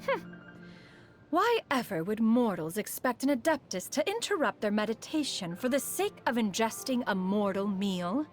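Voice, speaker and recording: A woman's voice: fairly high pitch, big pitch swings, moderate pace, soft background music. A woman playing a proud, refined female immortal in a video game, speaking in a formal, stately manner, aloof yet fond beneath it. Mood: haughty, bemused. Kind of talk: scripted video game character monologue.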